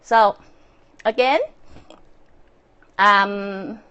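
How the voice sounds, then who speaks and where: A woman with a Chinese accent, speaking English as a second language, hosting a casual, earnest solo talk with many fillers and soft laughs. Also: mid-range voice, steady tone, extremely slow, clear, resonant tone, dull audio. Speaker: podcast host and author.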